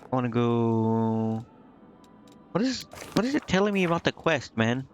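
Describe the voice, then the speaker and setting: A man's voice: mid-range voice, big pitch swings, unhurried, a little hiss or hum. A man doing casual live game commentary, thinking aloud to himself in slangy, indecisive mutters. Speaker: game streamer. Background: game music.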